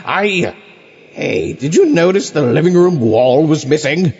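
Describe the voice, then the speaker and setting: Man doing a pompous, creaky, high-pitched ghost. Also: animated, a little slow, darkish tone, exaggerated voice. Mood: breezy. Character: smug chatty male ghost starting a business. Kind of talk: comedy audio drama acting. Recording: dull lo-fi, music underneath.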